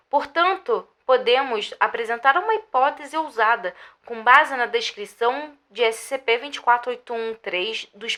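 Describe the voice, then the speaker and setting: A woman plays a female researcher with a Brazilian Portuguese accent, delivering a formal report in an audio drama. Her voice sits a little high and has a clear-cut, bright edge. The reading is measured and scripted, like findings presented to colleagues. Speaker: narrator. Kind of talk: scripted narration of a scientific report.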